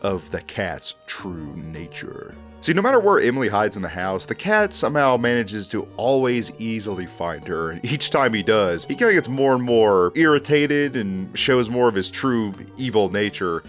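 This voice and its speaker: A man's voice: fairly low pitch, very animated, normal speed, hardly any pauses, soft background music. A man hosting a commentary video, casually recapping horror in a conversational, joking way.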